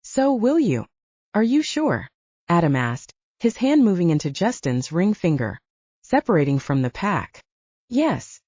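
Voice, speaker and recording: Woman audiobook narrator; low, dark, husky voice with lively pitch swings, dry and lo-fi. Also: no echo. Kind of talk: scripted narration of a romance novel.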